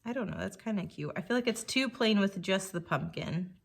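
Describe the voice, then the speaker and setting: A woman with a slightly croaky voice, chatting casually and thinking aloud while she works on a scrapbook page. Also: medium pitch, lively intonation, a little fast. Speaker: scrapbooking creator. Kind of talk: casual crafting commentary.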